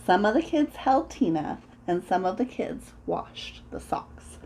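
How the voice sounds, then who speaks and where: A woman reading a children's story aloud in an expressive, deliberate way, as a storyteller acting out the sound words. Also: mid-range voice, slight background noise, low-quality recording.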